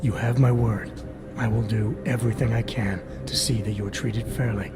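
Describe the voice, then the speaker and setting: An actor playing a stern, pragmatic agent in a video game cutscene, speaking in a blunt, matter-of-fact way. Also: male voice, fairly low pitch, fairly even intonation, normal speed, gravelly. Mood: firm, persuasive. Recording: music behind the voice.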